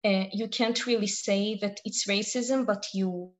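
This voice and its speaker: A young woman from Israel answering interview questions, hesitant and pausing often with fillers. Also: medium pitch, fairly even intonation. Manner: hesitant, many pauses and fillers.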